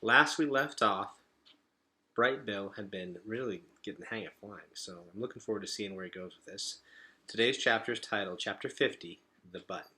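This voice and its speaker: A man reading a children's storybook aloud to pupils in an expressive, deliberate storytelling manner. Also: on the low side.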